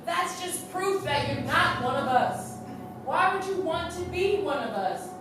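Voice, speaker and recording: A woman's voice: extremely high pitch, animated, unhurried, noisy background. A woman acting a sardonic, proud cyborg outcast who teases scornfully in a stage play. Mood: scornful, teasing. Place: theater stage. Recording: echoey theater, distant mic, music behind.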